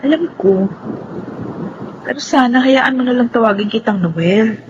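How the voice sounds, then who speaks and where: A woman's voice: fairly high pitch, measured pace, muffled recording, noisy background, close to an everyday voice. An actress playing a lovesick, clinging young woman, emotional and pleading, in radio melodrama style. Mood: pleading, tender.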